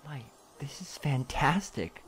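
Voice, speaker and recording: A man's voice: fairly high pitch, animated, slow pace, fairly bright tone. Affectionate, teasing cartoon pony guy played by a man. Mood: curious. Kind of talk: fan animated drama dialogue. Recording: faint background noise.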